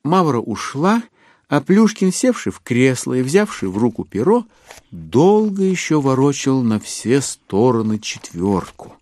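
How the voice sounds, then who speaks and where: A man's voice: mid-range voice, very animated, measured pace, darkish tone, no room sound, studio quality. A man narrating classic literature in a dramatic style for an audio play. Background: music under the narration.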